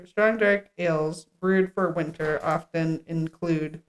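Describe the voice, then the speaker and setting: A woman's voice: deep voice, fairly even intonation, takes it slowly, a little hiss or hum. A woman co-hosting a podcast, reading a script aloud at a table read and dropping in casual, joking asides. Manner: jokey asides while reading. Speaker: podcast co-host.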